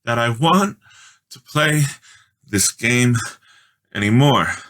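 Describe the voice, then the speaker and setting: A man's voice: mid-range voice, expressive, fairly bright tone, voice pushed up. Guy doing a skeptical little boy from a storybook, drawn out words, kinda easily rattled. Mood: breathless, scared. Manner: drawn-out, unimpressed.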